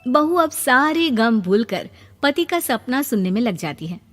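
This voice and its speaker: High-voiced woman narrating, echoing hall. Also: sing-song, measured pace. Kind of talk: scripted story narration.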